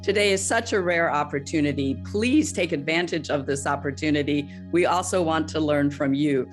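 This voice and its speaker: A woman's voice: low-pitched, normal speed, faint music behind. A woman hosting an online interview who introduces the questions in a gracious, inviting way. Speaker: host.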